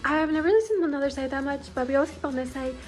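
A woman's voice: high voice, rapid-fire. A young woman in her twenties giving a casual, giggly house tour to her viewers, chatting into a phone held at arm's length in a bedroom. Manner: giggly, bubbly, lots of "like" and "you guys".

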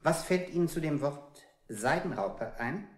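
A man's voice: on the high side, lively intonation, a little slow. An actor playing a cold, relentless male interrogator in a radio play, putting formulaic questions with clipped insistence. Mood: cold, insistent. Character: cruel male interrogator, relentless and coldly methodical. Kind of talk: avant-garde radio play, stylised questioning. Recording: echoey old radio play recording.

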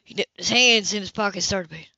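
Amateur novel reader, medium pitch, occasional stumbles, dull lo-fi sound. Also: quick pace, vocal fry, pushed and bright, dry recording. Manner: stumbles and rereads phrases. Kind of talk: reading a novel aloud. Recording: dull lo-fi home recording.

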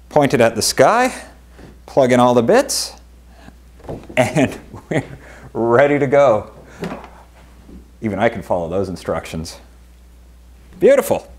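Lively, chatty man unboxing gear. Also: medium pitch. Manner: enthusiastic, chatty, lively exclamations. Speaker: veterinarian and channel host. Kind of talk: product unboxing vlog. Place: living room with wooden table, indoors.

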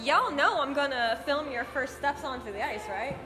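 A woman's voice: sits high, expressive. A young woman filming a casual vlog at an ice rink, playful and joking with friends. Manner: playful, unhurried. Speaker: vlogger. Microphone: phone camera. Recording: noisy rink with crowd.

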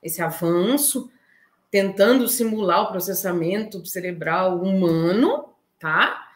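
A woman's voice: medium pitch, animated, slow. A middle-aged Brazilian woman giving an informal online lecture, explaining step by step with frequent check-in tags. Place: indoor room, online talk. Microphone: video call.

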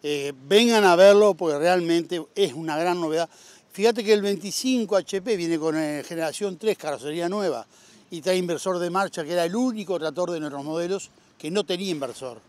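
A man's voice: high voice, fairly quick. A middle-aged man with a Uruguayan Spanish accent, a machinery dealer promoting his tractors outdoors at a farm fair, with lots of "este" fillers. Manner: upbeat salesman, filler-heavy with frequent "este". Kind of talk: casual outdoor interview.

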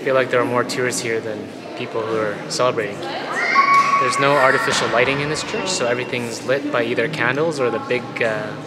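A man's voice: medium pitch, a little fast, a loud crowd. A man in his thirties talking casually as a travel vlogger into a camera held at arm's length. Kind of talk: casual vlog chat.

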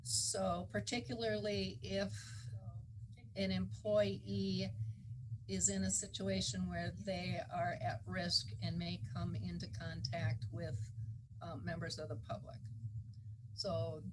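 Lower-voiced woman briefing a meeting, noisy room. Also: level tone, slow pace. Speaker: county official presenting a policy. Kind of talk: explanatory briefing at a public board meeting.

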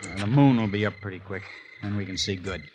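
An actor playing a spiteful, scheming villain plotting in low tones in a 1950s radio western. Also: male voice, quick pace, background noise, natural voice. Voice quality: low conspiratorial, vengeful. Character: Joe Beaver, spiteful scheming male villain clerk. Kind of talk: 1950s radio drama acting.

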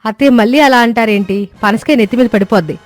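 A woman's voice: fairly high pitch, fast talker, no room sound, close to an everyday voice. A woman voicing a cheerful but stubborn young village daughter-in-law in a cartoon story, earnest and pleading. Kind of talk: cartoon moral-story voice acting.

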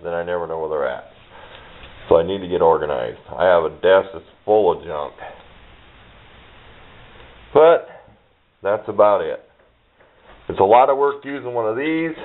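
A middle-aged man chatting casually and unscripted as he shows his hobby collection, in a folksy, plainspoken, rambling way. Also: low-pitched. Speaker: hobbyist showing his radio parts collection. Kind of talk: casual unscripted show-and-tell. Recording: dull lo-fi camera microphone.

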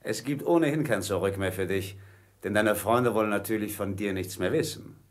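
Male actor as pirate ringleader, low voice, cunning, wheedling, menacing, theatrical radio drama. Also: normal speed, lightly acted. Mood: smoothly persuasive. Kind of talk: radio play acting.

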